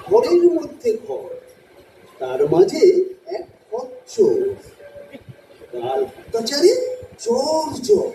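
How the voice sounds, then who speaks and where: A man's voice: very high voice, wildly swooping pitch, takes it slowly, creaky, pauses often, mellow, exaggerated voice. A man playing a pretentious, earnest modern poet reciting theatrically in an audio drama. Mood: self-important. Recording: echoey, distant, faint noise.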